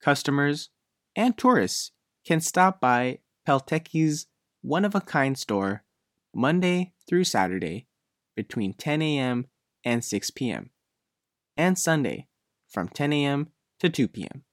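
A man's voice: medium pitch, very animated, unhurried, fairly bright tone, no echo, studio quality. A man working as a reporter, reading a scripted news feature narration.

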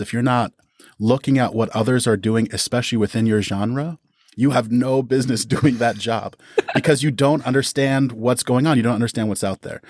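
A man's voice: medium pitch, no echo, clean studio sound. A young adult man chatting casually as a podcast guest, giving advice in an encouraging way.

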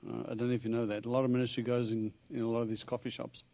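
Middle-aged South African-born preacher, Texan accent, humorous storytelling sermon, dry close sound. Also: male voice, medium pitch, little pitch movement, rapid-fire, gravelly, mellow, no room sound. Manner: chatty and humorous, acting out dialogue with God. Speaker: visiting preacher. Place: church.

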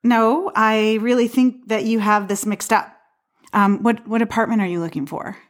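An actress playing a skeptical but helpful apartment dweller in an audio play, speaking in a hesitant, conversational way. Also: female voice, a little high, fairly even intonation, moderate pace, lightly acted. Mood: puzzled.

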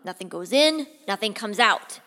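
Forceful, emphatic male general voiced by a woman, graphic novel read-aloud, reverberant hall sound. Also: medium pitch, expressive, normal speed. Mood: forceful, scheming. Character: forceful, excitable male army general obsessed with snakes.

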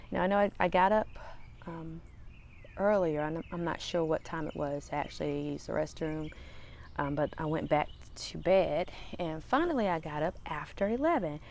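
A woman hosting her own podcast, talking casually outdoors with a small, slightly raspy voice and a relaxed, rambling way of speaking. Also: deep voice. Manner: relaxed, rambling, chatty with fillers. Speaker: podcast host. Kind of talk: casual solo podcast talk. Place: outdoors in the sun.

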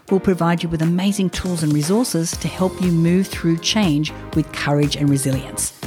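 A woman hosting a podcast speaks in a low voice, chatty and friendly. Faint music sits under her voice. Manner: friendly, chatty, laughs often. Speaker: podcast host. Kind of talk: podcast intro and interview.

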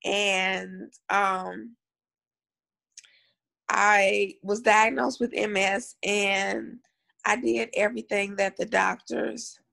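A woman from north Louisiana, a guest on a remote call, answering interview questions in a soft, measured way with pauses and fillers. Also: medium pitch, steady tone, vocal fry. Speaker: guest, cannabis advocate and educator.

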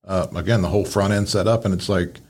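A man's voice: low voice, steady tone, fast talker, a little mellow, slightly rough. A man giving casual, explanatory commentary as a fire service instructor, talking in loose, meandering sentences. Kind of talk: casual analytical commentary on fireground radio traffic.

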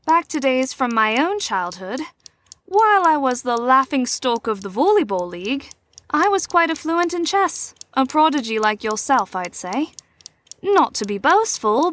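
Audio drama mentor: woman with a notably high voice, formal and measured, poised and gently probing. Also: slight background noise, full character voice. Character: poised, probing female mentor and chess player. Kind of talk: scripted audio drama acting.

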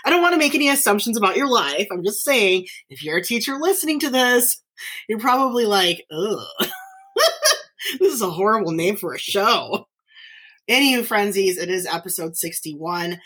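A woman from northern Nevada hosting her own podcast, chatting casually and warmly about her life, with frequent laughs, fillers and restarts. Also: medium pitch, lively intonation, normal speed, breathy edge. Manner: chatty, giggly, frequent fillers and self-corrections. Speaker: podcast host, teacher. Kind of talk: casual solo podcast chat.

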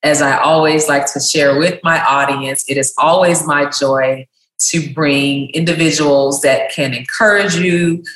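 A woman's voice: low voice, steady tone, unhurried, fairly bright tone. Upbeat woman podcast host, roomy echo. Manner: upbeat, effusive welcomes. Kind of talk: podcast interview.

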